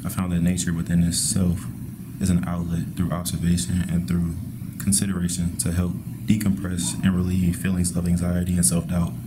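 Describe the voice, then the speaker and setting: A young man from Missouri reads his artist statement in a calm, measured manner. His very deep voice barely moves in pitch, and clear background noise runs under it. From Missouri, USA. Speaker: art student. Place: indoor art gallery.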